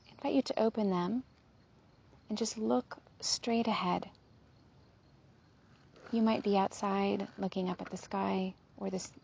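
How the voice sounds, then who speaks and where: Soft, husky woman guiding meditation. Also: mid-range voice, lo-fi sound. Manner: soothing, gentle, unhurried with soft trailing phrases. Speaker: meditation guide. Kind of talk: guided body scan meditation.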